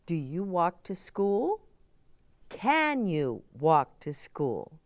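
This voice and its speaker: A woman's voice: fairly low pitch, sing-song, drawn-out words, no echo. A woman hosting a children's story time, speaking in simple, emphatic phrases as she addresses young listeners. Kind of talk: expressive read-aloud and chat addressed to young children.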